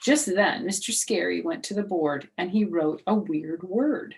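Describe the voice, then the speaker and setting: A woman reading a children's book aloud as storyteller, animated, with asides to her listeners. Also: fairly low pitch, a little slow.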